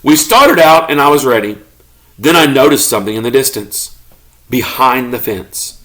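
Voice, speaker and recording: A man voicing a good-natured, grumbling pet beagle telling his own storybook tale in an expressive, mock-indignant way. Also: mid-range voice, normal speed, close to an everyday voice, low-quality recording. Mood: wistful, eager.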